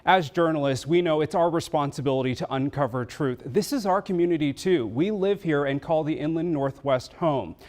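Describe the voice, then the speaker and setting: A man's voice: a little high, a little mellow. Earnest male news anchor. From Vietnamese and Chinese American. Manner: earnest, measured delivery. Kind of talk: scripted news feature narration.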